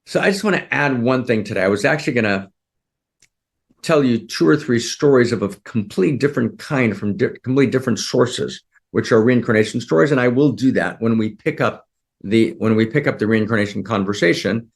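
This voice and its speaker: Male spiritual teacher, mid pitch, emphatic, keeps asking the room if they follow. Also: brisk, creaky. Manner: keeps checking in with listeners, repeats phrases. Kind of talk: teaching session to a group.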